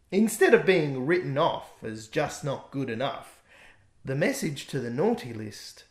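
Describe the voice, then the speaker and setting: A man reading aloud his own rhyming picture book in a gentle, expressive way. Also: on the high side. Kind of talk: rhyming storybook narration. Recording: echoey room.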